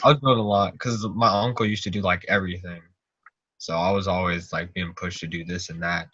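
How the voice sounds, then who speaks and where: A man's voice: low-pitched, fairly even intonation, a little fast, a bit bright, breathy edge, dry recording. A teenage young man chatting casually as a podcast guest, telling anecdotes in an informal, slangy, rambling way on a slightly muffled recording. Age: teens.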